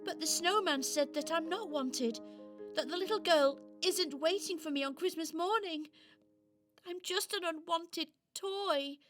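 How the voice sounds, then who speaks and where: A woman's voice: very high voice, measured pace, fairly bright tone, faint music behind. A woman playing a sad, scared little toy in a children's radio play, plaintive, hesitant and full of self-doubt.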